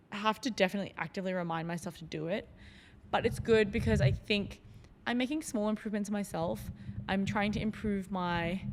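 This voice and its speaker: A woman's voice: fairly low pitch, big-room sound, slight background noise. A woman hosting a solo podcast, talking in a loose, reflective way with lots of fillers. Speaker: podcast host.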